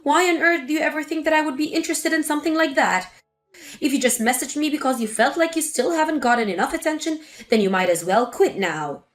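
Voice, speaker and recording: A woman voicing a spiteful older mother-in-law who lectures and sneers, with a lowered voice. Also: fast, faint music behind, close to an everyday voice. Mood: scornful. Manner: scornful, lecturing.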